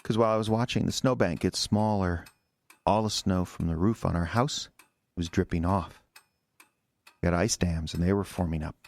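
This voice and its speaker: A troubled man in an audio horror drama, played by a male actor, speaks in a very deep voice full of fry. He is agitated and confused, stammering and circling back over his words as he pleads. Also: moderate pace, a little mellow. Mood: haunted, fixated. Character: agitated confused man confessing to a killing, obsessed with dripping.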